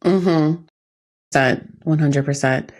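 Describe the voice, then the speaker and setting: Low-voiced woman in casual conversation. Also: takes it slowly, a little mellow. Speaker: podcast co-host.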